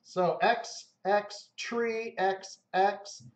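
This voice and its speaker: A man's voice: high-pitched, fairly even intonation, drawn-out words. A man hosting a casual livestream, talking upbeat to his viewers. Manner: upbeat, talks to viewers, gives away cars. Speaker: livestream host. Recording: roomy, dull lo-fi sound.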